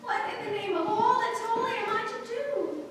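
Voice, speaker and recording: A woman's voice: very high-pitched, normal speed. A woman acting a proud, flustered upper-class bride in a theatrical, exclamatory 1940s radio drama style. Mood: panicked. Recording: echoey hall, distant mics.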